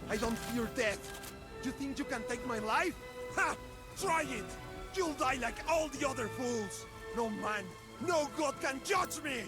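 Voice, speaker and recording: An actor playing an arrogant, defiant villain shouting taunts in battle in a video game. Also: male voice, extremely high pitch, animated, unhurried. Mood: defiant rage. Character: defiant, raving male villain facing death in battle. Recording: shouted from afar, music and gunfire.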